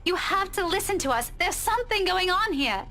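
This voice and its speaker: A man's voice: very high voice, lively intonation, normal speed, bright, forceful tone, slight background noise, pitched above the performer's own voice, exaggerated voice. A man voicing an anxious young woman pleading urgently to be heard.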